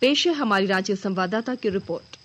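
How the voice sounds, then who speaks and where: A woman news anchor reading a scripted regional bulletin in a formal, measured manner. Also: medium pitch, lively intonation, lo-fi sound.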